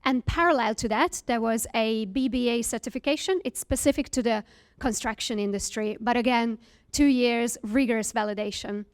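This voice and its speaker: Woman, slightly high voice, earnest stage talk, uh and um fillers. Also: normal speed. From Hungary. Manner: earnest, emphatic, with frequent uh and um fillers. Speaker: startup founder giving a talk. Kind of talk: presentation to an audience.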